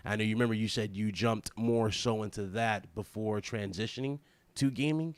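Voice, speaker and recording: A man's voice: on the low side, moderate pace, no room sound. A man hosting a live podcast interview, hyped and eager, often tripping over and repeating his words. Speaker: podcast host.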